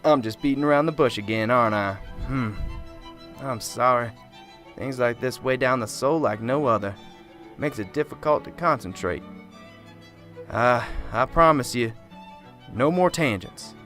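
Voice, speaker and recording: A man doing a soft-spoken, pious small-town reverend in a radio drama. He speaks at an even middle pitch, gently and at length, wandering off on tangents. Quiet music plays underneath. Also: a little slow, pauses often, natural voice. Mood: solemn.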